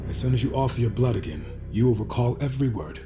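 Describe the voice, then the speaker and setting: Animated, cryptic conjurer, voiced by a man. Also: medium pitch, fast talker, lightly acted. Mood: knowing. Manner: cryptic, assured. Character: cryptic otherworldly conjurer, summoned powerful mentor. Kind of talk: audio drama acting. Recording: music behind the voice.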